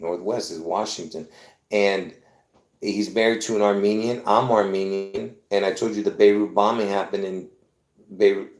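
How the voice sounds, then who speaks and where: Low-voiced man from Lebanon, insistent rambling host, echoey room. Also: darkish tone. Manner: insistent, repetitive, with many restarts. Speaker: conspiracy video host. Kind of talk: rambling live monologue.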